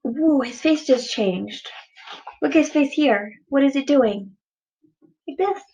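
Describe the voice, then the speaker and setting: Lady teacher doing a picture book read-aloud, real slow n expressive, stresses words for kids, highish voice. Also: muffled recording. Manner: deliberate, stressing words for young listeners. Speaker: teacher reading aloud to children.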